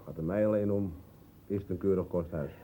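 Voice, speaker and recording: An actor playing an easygoing but stubborn working-class lodger in a radio drama, offhand and husky. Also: male voice, low voice, a little fast, warm tone, slight background noise. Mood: unbothered. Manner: laconic, offhand.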